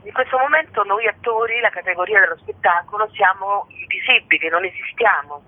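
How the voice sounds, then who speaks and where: A woman's voice: medium pitch, a little fast. A woman from Italy, a theatre actor and director, talking discursively and emphatically as a radio interview guest. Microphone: phone call to a radio show. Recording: narrow, tinny phone line.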